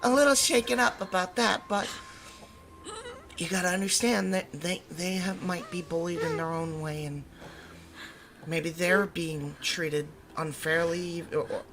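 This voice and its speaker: Woman playing low-voiced protective, soothing mother. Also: steady tone, crowd noise. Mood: earnest, upset. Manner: gentle, earnest, halting reassurance. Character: protective, reassuring mother of a bullied daughter. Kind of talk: audio roleplay drama acting.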